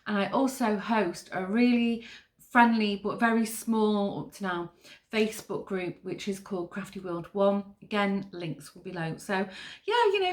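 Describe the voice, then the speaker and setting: A middle-aged woman from Manchester with a northern English accent, hosting her own craft podcast in a chatty, rambling way with lots of fillers. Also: medium pitch. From Manchester, England. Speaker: podcast host. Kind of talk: casual chat.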